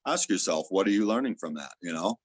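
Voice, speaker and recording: Guest: man, very low voice, loud and earnest, dry close sound, explains with many fillers. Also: animated, fast, slightly rough, no echo. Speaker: theta healer guest. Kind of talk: casual spiritual interview talk.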